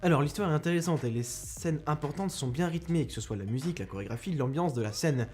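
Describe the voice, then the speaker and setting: A man's voice: fairly high pitch, animated, quick pace, a little mellow. A young man giving a casual spoken film review, rambling and self-mocking with many restarts, close to a microphone.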